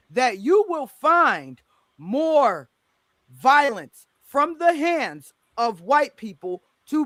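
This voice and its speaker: A moderator running a heated panel debate, speaking forcefully and driving home points with emphatic repetition. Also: high voice, no echo.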